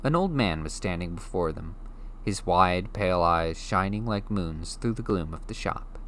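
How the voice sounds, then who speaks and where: A man narrating a children's fantasy book in a steady, measured way. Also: sits low, a little hiss or hum. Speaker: audiobook reader. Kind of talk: storybook narration.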